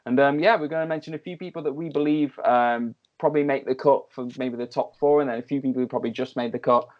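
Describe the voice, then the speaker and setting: A young man with a Manchester accent, co-hosting a casual sports chat in an animated way, with lots of fillers and restarts. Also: medium pitch, fast. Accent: Mancunian English. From England. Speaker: co-host. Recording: slightly dull lo-fi audio.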